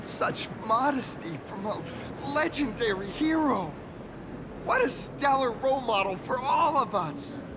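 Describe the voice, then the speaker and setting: High-pitched, gushing young hero fanboy. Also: male voice, very animated, unhurried, background noise, full character voice. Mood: gushing, flustered. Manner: gushing, stammering when flustered. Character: excitable starstruck young male actor-turned-masked-hero, earnest and humble. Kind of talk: anime-style video game voice acting.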